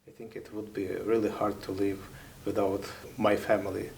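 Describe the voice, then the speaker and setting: A man in his thirties with a Ukrainian accent, a chaplain giving thoughtful, halting interview answers with many pauses. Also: fairly low pitch, little pitch movement, vocal fry, a little mellow, a little hiss or hum.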